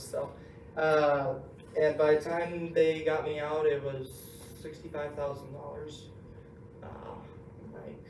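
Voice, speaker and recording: A man's voice: a little high, dark tone. A young man from Russia, with no accent, giving a casual, joking talk to a student group, full of ums and uhs. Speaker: inspirational speaker. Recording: echoey hall recorded from far off with noise.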